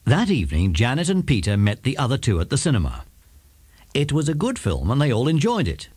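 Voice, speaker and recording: British male narrator for children's audiobook, very animated, faintly husky, close dry studio sound. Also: medium pitch, a little fast, dry recording. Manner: animated, dramatic. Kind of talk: expressive scripted storytelling for children.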